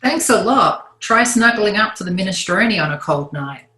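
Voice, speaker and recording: A woman's voice: medium pitch, lively intonation, normal speed, sharp tone. A woman playing a wry, teasing suburban mom in an amateur sitcom table read. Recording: echoey room.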